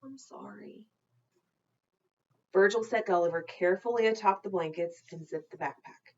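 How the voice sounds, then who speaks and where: A woman narrating a children's novel aloud in an expressive, deliberate storytelling manner. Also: lowish voice, muffled recording. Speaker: storytime reader, teacher.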